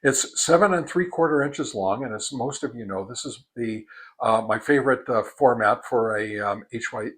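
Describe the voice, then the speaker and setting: Man, mid-pitched husky voice, informal reviewer, step-by-step halting explanations. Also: a bit bright. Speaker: product reviewer, host. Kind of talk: informal product test and demonstration.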